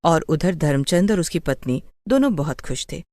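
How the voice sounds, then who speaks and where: A woman's voice: deep voice, expressive, normal speed, warm tone, dry recording. A woman narrating a scripted story as a storyteller.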